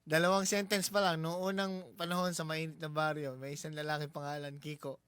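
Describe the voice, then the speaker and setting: A man with a Filipino accent, co-hosting a radio show with excitable, joking interjections and banter. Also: on the high side, a little fast, smooth, dry recording. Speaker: radio co-host. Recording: music playing behind the voice. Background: music bed.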